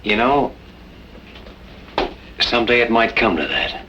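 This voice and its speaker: An actor playing a terse, stubborn, weary cattle rancher with an American Western accent, dry in manner, in an old-time radio western. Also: male voice, on the low side, big pitch swings, a little slow, bright, forceful tone, exaggerated voice. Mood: hard, challenging. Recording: dull, hissy old-time radio recording.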